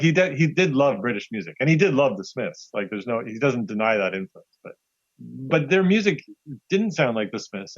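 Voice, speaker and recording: A man's voice: mid-range voice, no room sound. A man speaking casually as an interview guest, telling anecdotes and acting out what people say. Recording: slightly dull, lo-fi audio.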